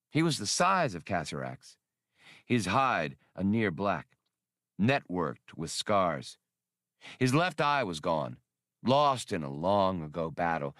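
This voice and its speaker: Slow, low-pitched male storyteller, close and dry. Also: fairly bright tone, dry recording. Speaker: audiobook reader. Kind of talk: scripted story narration, dramatic.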